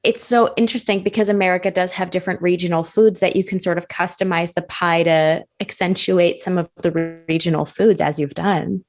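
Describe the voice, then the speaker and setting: A woman's voice: lowish voice, steady tone, a little fast, few pauses, darkish tone. A woman hosting a casual conversational interview, speaking in an affirming, encouraging way. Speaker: podcast host, interviewer.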